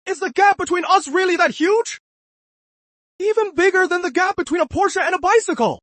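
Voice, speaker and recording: A boastful, rejected suitor. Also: female voice, moderate pace, fairly bright tone, no echo. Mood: pleading. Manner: pleading, shrill.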